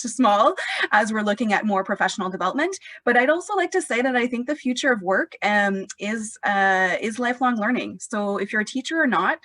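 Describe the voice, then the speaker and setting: A woman's voice: medium pitch, a bit bright, no echo. A woman from Canada moderating a roundtable discussion over a video call, talking in a conversational, upbeat way. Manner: conversational, upbeat, light laughs.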